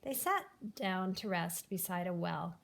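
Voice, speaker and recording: A woman's voice: on the low side, very animated, a little slow, vocal fry. A woman reading a children's folktale aloud as scripted narration. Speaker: storybook reader.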